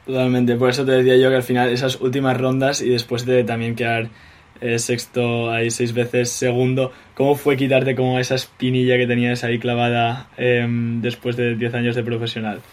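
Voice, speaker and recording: A young man from Spain hosts a casual interview. His voice sits at a middle pitch. He is chatty and strings his questions together at length. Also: completely flat delivery, normal speed. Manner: chatty, asks long rambling questions. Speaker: podcast host and interviewer.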